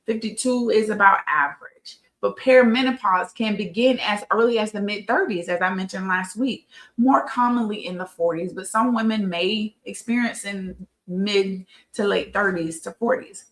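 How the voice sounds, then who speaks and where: A woman's voice: medium pitch, moderate pace, fairly bright tone, poor audio. A fifty-year-old woman giving an informal educational talk as a host, conversational and emphatic, repeating phrases for stress. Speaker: host of a women's health talk show.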